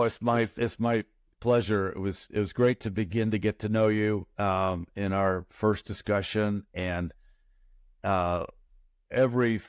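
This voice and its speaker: A lowish male podcast host chats casually with his guest. He stumbles and repeats words as he frames his questions. The sound is dry and close, with no room echo. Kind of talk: casual interview chat.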